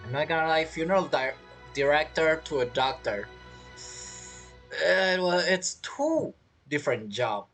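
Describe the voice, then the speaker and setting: A woman giving casual commentary as she plays a game, halting and thinking aloud as she goes. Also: deep voice, animated, fairly bright tone, soft background music. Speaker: game streamer.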